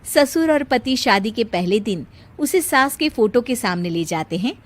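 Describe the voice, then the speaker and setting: Woman storyteller, highish voice, reading out a funny family tale. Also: lively intonation, measured pace. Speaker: narrator. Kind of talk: scripted comic storytelling.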